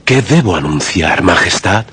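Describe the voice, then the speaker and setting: A man's voice: low voice, lively intonation, a little slow, a bit bright, a little hiss or hum. An actor playing a grave royal steward who speaks formally and deferentially to his king in a radio drama. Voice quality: husky.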